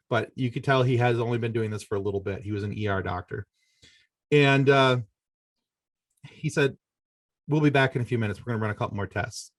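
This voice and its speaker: A man's voice: medium pitch, no room sound, clean studio sound. A middle-aged man telling a personal story as a podcast guest, talking conversationally and often stopping to correct himself. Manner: halting, conversational, self-correcting delivery.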